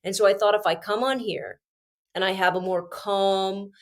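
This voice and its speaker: A woman's voice: medium pitch, fairly even intonation, normal speed. A Canadian woman, a teacher, hosting her own show, sounding congested with a cold and talking with passionate emphasis and frequent restarts. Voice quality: screechy when agitated. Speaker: podcast host and teacher. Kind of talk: casual solo commentary.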